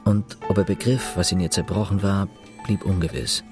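A man playing a sober narrator in a German radio drama, giving his account in a measured, detached, dry way. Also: low voice, steady tone, over music. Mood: dry, reflective. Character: sober male narrator recording another's account.

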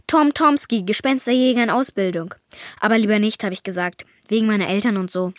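A woman's voice: mid-range voice, a little fast, no room sound, studio quality, lightly acted. A woman playing a candid, slightly sheepish schoolboy apprentice ghost hunter in a children's radio play.

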